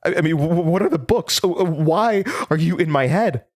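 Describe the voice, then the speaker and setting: A man's voice: a little high, animated, fairly quick, a little mellow. Man playing a haunted, swooping-voiced troubled man. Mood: agitated, pleading. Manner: stammering, sarcastic. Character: troubled young amnesiac man, haunted, bitter and defensive. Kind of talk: audio drama acting.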